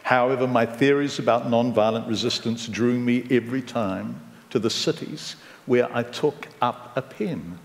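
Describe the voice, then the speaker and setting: A man interviewing a guest on stage who reads passages aloud in a steady, earnest voice. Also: medium pitch, moderate pace, few pauses. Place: live event in a hall. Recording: distant mic in a reverberant hall.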